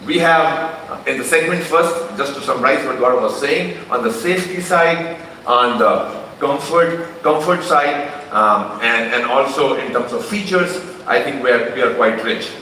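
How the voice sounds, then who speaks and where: Bright-voiced Indian man in an echoey hall. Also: medium pitch, normal speed, a little hiss or hum. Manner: conversational, with frequent restarts and fillers. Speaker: company executive presenting a car launch. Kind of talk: unscripted presentation speech. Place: large launch event hall. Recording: echoey hall from a distant mic.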